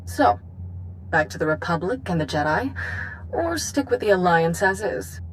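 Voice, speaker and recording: An actress playing a brisk, confident, wry woman chancellor in a science fiction video game. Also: on the low side, big pitch swings, slight background noise, low-quality recording. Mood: matter-of-fact. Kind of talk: video game cutscene acting. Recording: music under the voice.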